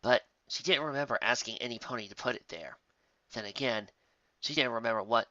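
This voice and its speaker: A man reading scripted story narration as an amateur, expressive and occasionally stumbling over the text. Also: medium pitch, a little fast, no room sound, muffled recording.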